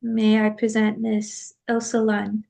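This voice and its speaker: A woman's voice: on the high side, monotone, slow pace, vocal fry, darkish tone. A woman playing a dignified, guarded man in exile, formal and reserved, in an amateur script read-through. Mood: guarded, polite.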